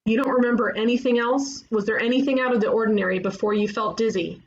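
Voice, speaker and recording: Casting: woman as impulsive young cartoon sleuth, pointed delivery, slightly high voice, lo-fi mic. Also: fairly even intonation, fast, natural voice. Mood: probing. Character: blunt, impulsive young female ghost detective. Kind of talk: animated comedy table read. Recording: dull, noisy home microphone.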